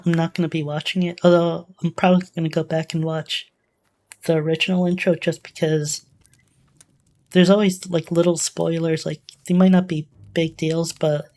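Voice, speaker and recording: A woman's voice: low-pitched, mellow. A woman giving casual, off-the-cuff commentary as host of a reaction video, musing tentatively as she thinks aloud.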